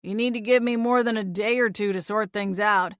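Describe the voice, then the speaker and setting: A woman voicing a gruff, dismissive male sheriff in a storybook reading, talking in an exasperated, lecturing way. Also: mid-range voice, steady tone, a little fast, dry recording, clean studio sound, close to an everyday voice. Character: gruff dismissive small-town male sheriff, long-winded.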